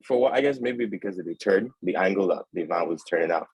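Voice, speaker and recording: Deep husky male webinar moderator. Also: expressive, quick pace, a little mellow, no echo, poor audio. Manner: friendly, chatty, anecdotal. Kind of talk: moderating a panel discussion. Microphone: video call.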